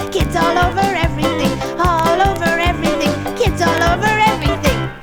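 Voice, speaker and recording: A woman's voice: very high-pitched, full character voice. A woman voicing a cheerful, giggly small boy puppet who tells and sings a story for children. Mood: singing. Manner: giggly, sing-song. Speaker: children's show character. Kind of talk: sung and told story for children. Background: children's music.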